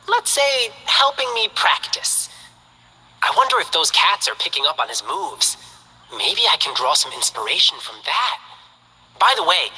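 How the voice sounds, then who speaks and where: A woman's voice: on the low side, bright, forceful tone, slightly rough, big-room sound, heavily acted, poor audio. An actress playing a cheerful, playful young female lion dancer in a game, upbeat and chatty.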